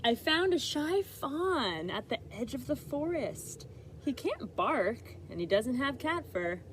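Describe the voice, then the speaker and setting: Young boy played by a woman for a picture book: earnest, hopeful pleader, very lively intonation. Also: high-pitched, takes it slowly, a little hiss or hum, pitched above the performer's own voice, full character voice. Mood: hopeful, pleading. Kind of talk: picture book read-aloud.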